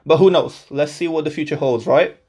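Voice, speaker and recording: A man's voice: on the high side, a little fast, dark tone. A man in his twenties from Portugal, a native Portuguese speaker, hosting his own podcast in a casual, upbeat, self-deprecating way. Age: 20s. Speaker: podcast host. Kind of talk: casual solo podcast introduction.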